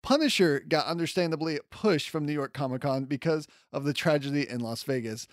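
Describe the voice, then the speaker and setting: Man, podcast host, lightly high-pitched voice, informal news commentary, enthusiastic, chatty, dry close sound. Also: creaky, no room sound. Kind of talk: casual solo news commentary.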